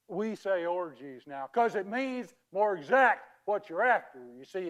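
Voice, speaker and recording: A sanctimonious swindler in a literary comedy drama, passing himself off as a bereaved English uncle. The voice sits high for a man and moves slowly, with grand, unctuous oratory. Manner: pompous, theatrical, drawn-out oratory. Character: smarmy male con man posing as a pious grieving English uncle.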